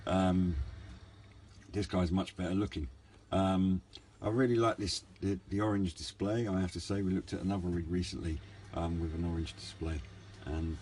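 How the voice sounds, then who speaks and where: A man giving a casual, unscripted hobbyist product review in a hesitant, low-key, rambling way. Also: mellow. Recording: clear background noise, room ambience.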